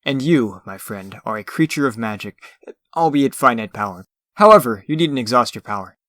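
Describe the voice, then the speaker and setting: A man's voice: mid-range voice, very animated, moderate pace, fairly bright tone, no echo, studio quality. A man playing a theatrical magician and escape artist in a comic dub, lecturing in a grandiose, urbane and condescending way. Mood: instructive.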